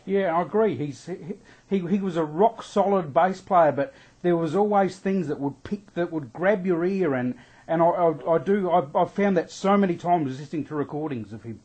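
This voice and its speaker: A man's voice: fairly high pitch, normal speed, darkish tone, slightly rough, low-quality recording. A man from Adelaide with an Australian accent, interviewing a guest in a chatty way and often repeating words as he starts. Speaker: radio interviewer. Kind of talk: casual radio interview.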